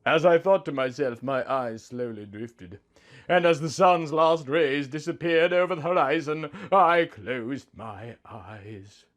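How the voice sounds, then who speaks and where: A man's voice: fairly high pitch, measured pace, fairly bright tone. A man reading a scripted first-person story as narrator, with music behind him.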